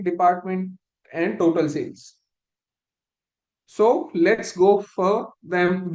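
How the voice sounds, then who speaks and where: A man with a fairly high voice walks learners through a spreadsheet task in a live online class. He is patient and methodical, often correcting himself mid-sentence. His computer mic picks up a noticeably echoey room. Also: a little mellow. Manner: step-by-step, patient, restarts phrases. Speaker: instructor.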